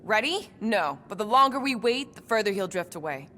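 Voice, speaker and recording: A woman's voice: mid-range voice, very animated, moderate pace, pushed and bright, slight background noise. A woman playing a guarded, skeptical warrior crewmate in a video game, dry and challenging in manner. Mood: wary.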